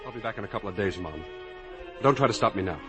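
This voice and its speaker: An actor playing an earnest, troubled grown son, firm and American, in a 1950 radio drama. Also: male voice, fairly low pitch, lively intonation, quick pace, lightly acted. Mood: grave, determined. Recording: old 1950 radio broadcast with music.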